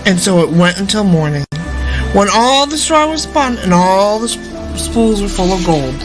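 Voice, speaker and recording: A woman's voice: mid-range voice, very animated, moderate pace, rough recording. A woman storyteller reading a fairy tale aloud expressively. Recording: music behind the voice. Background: background music.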